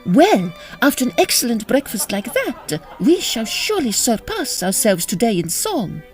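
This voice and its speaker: A gracious Victorian prima donna, high and refined. Her speech is formal yet brisk, with lively swoops over faint music, in a farcical audio drama. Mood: gracious, cheerful. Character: gracious, brisk leading soprano in Victorian opera company. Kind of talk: period farce audio drama acting.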